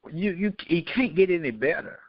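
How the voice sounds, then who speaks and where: A man's voice: a little high, expressive, moderate pace, dry recording. A man hosting a casual podcast chat, opinionated and emphatic, often repeating himself to make his point. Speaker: podcast host. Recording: dull, muffled lo-fi audio.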